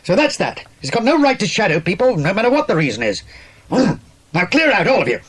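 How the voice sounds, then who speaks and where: Casting: gruff, threatening hotel guest, very high-pitched for a male, animated delivery, curt and dismissive, audiobook drama. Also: fairly quick, heavily acted. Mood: surly, dismissive. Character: surly, scowling, threatening man staying at a hotel. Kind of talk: dramatised children's audiobook acting.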